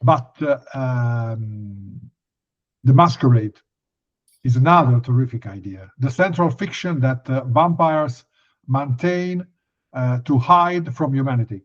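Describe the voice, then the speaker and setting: A man interviewing a guest, laying out his question in measured, hesitant phrases with pauses. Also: mid-range voice, dull audio.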